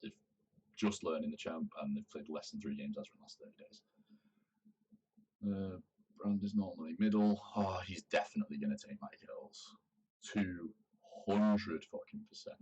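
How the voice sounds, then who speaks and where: Brief: hoarse male streamer, extremely low voice, casual and sweary, mic a bit far off. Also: normal speed, darkish tone, lo-fi sound. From Manchester, England. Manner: chatty, swears casually while playing. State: hoarse from a sore throat. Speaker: game streamer. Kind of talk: casual live gameplay commentary.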